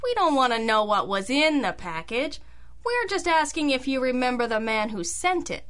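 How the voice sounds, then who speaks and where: An actress playing a wry, sassy hard-boiled private detective who narrates and talks slang in an old-style radio drama. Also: female voice, sits high, big pitch swings, moderate pace, fairly bright tone, smooth. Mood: brisk, wry.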